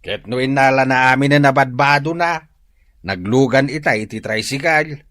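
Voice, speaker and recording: A man's voice: medium pitch, normal speed, fairly bright tone, no echo, studio quality, lightly acted. An actor with an Ilocano accent playing a frank, boastful, jokey everyday man in a comedy radio drama. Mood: blunt.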